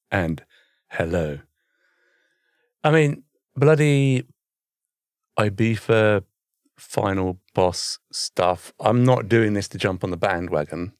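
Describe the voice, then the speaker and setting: A British man with a fairly low voice talks casually as a podcast co-host, recorded close and dry. He rambles in a bemused way, often reaching for the right word. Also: animated, slow pace, pauses often, darkish tone, no room sound. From United Kingdom.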